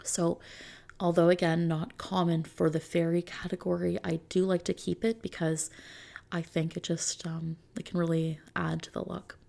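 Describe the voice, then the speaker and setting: A woman doing an ASMR roleplay as a customization consultant, talking softly and unhurriedly to a customer in a gently explanatory, reassuring way. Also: on the low side, little pitch movement, moderate pace, creaky. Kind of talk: ASMR roleplay, soft customer-service talk.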